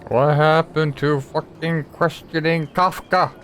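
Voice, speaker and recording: A man's voice: a little high, steady tone, takes it slowly, fairly bright tone, a little hiss or hum. A man giving casual gameplay commentary, muttering to himself and swearing as he plays. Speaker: gameplay streamer.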